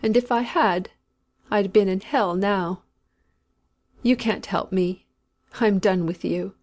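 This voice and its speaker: A woman doing a dying old country woman in a storybook, slow and drawn-out, talking in a rough rustic way. she sounds sinful and scared of the dark, and she gives orders like she is used to being obeyed. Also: mid-range voice. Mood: sullen, imperious. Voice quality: rustic dialect, anguished pleading.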